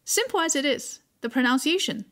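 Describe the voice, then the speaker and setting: A woman whose first language is Mandarin gives a patient podcast lesson on Chinese tones. Her voice sits a little high with a gravelly fry at the edges, and she repeats words carefully so learners can follow. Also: animated, a little fast, bright, forceful tone. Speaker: guest language teacher.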